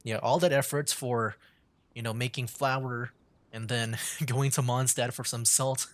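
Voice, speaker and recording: A man's voice: medium pitch, fairly even intonation, a little slow, no room sound. A man doing casual live game commentary as a streamer, often chuckling as he talks. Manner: chuckles often while talking. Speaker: streamer and gamer. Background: faint game music.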